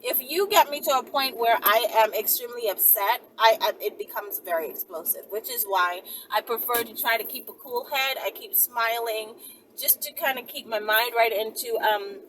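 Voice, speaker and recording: A woman's voice: fairly high pitch, moderate pace, fairly bright tone. A woman hosting a casual question-and-answer vlog, talking emphatically into her phone from inside a car, often repeating her words as she goes. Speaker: channel host answering viewer questions. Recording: distant phone mic with faint background noise.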